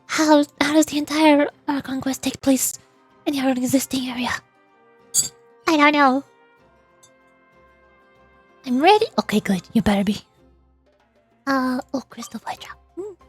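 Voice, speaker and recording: A woman with a high voice hosts a casual gaming stream, with music playing faintly behind her. She rambles playfully and mutters small asides to herself as she plays. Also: a little slow, long pauses, no echo. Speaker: livestream host, VTuber playing a video game.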